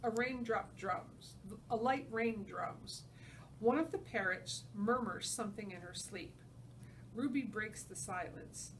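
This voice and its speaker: A woman's voice: mid-range voice, slow. A woman reading a story aloud as a teacher, narrating and putting questions to her students. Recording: distant mic with faint background noise.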